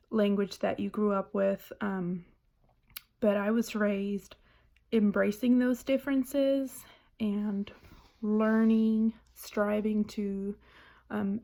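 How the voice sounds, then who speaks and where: Woman, English speaker, halting with many pauses, monotone, low and unhurried. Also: gravelly. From Germany. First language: German. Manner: hesitant, frequent "um" pauses. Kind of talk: spoken reading response.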